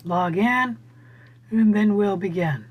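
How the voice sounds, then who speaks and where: A middle-aged man with a hoarse voice, teaching a college biology class on a video call, speaking haltingly with frequent pauses. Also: high-pitched, highs cut off. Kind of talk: online lecture over screen share. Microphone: computer mic on a video call.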